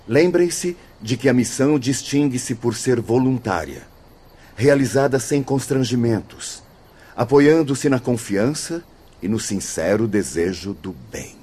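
Earnest, courteous male missionary, husky and expressive, formal radio drama delivery. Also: mid-range voice, measured pace. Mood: gentle, earnest. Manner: formal, gently persuasive.

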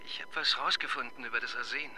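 An actor playing a shy, awkward young police assistant who talks in a hesitant, deferential, stammering way in a radio crime drama. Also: male voice, medium pitch, steady tone, fast, bright, forceful tone, poor audio. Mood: earnest.

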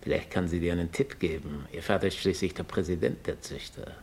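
Very deep, creaky worldly man. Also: fairly quick, a little mellow. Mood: amused, knowing. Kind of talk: 1950s German radio play acting. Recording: noisy vintage 1950s radio recording.

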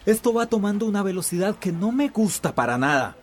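A man's voice: high voice, sing-song, a little fast, background noise. A man playing a nervous, irritated company boss in a radio drama, grumbling with exasperation. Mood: exasperated.

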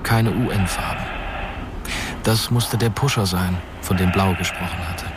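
A man's voice: low voice, a little slow, background noise. A man reading scripted narration for a science fiction radio drama.

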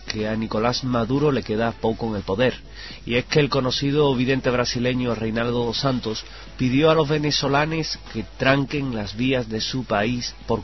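A man reading scripted news items on a radio show. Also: medium pitch. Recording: dull radio sound with music. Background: background music.

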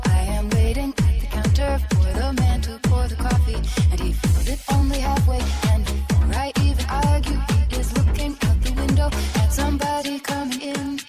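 High, lilting female singer. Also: very animated, moderate pace, long pauses. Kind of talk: sung, conversational pop song lyric. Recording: loud music track around the voice.

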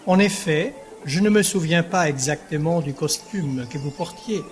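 An actor playing a courteous, absent-minded court president in an audio drama, stiffly polite and elaborate in phrasing. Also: male voice, a little high, normal speed, faint music behind, lightly acted. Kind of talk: French audio drama acting.

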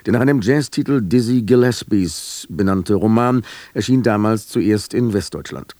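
A man giving a scripted radio introduction as an announcer, in a measured, formal manner. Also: on the low side, warm tone, no echo. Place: dry studio.

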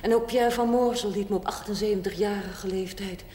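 An actress playing a worldly, unrepentant older woman in a television drama, speaking deliberately and theatrically with dry sarcasm. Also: medium pitch, close to an everyday voice. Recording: echoey hall with steady hiss.

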